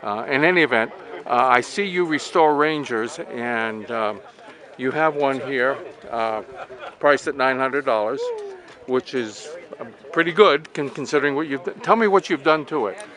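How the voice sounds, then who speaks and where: A man's voice: mid-range voice, moderate pace, fairly bright tone. A man hosting and interviewing in a casual, plain-spoken, conversational way, recorded on location outdoors. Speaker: host and interviewer. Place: outdoors at a busy swap meet.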